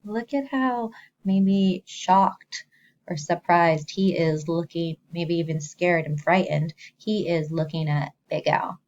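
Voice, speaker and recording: A woman teacher reading a story to young children, expressively, pausing to explain simply and ask the listeners questions. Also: fairly low pitch, a little mellow, muffled recording, slight background noise.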